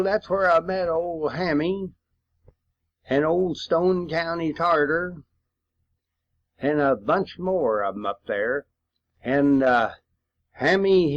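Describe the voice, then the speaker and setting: An older man, a war veteran, rambling through reminiscences in a folksy, halting way on an old home cassette recording. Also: medium pitch, muffled recording. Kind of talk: rambling reminiscence, spoken storytelling.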